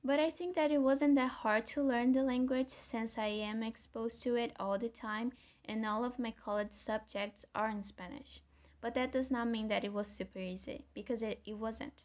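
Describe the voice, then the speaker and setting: Young woman, Brazilian accent, podcast host, slightly high voice, dull lo-fi sound. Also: steady tone, normal speed. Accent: Brazilian Portuguese. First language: Portuguese. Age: young adult. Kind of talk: scripted solo podcast talk.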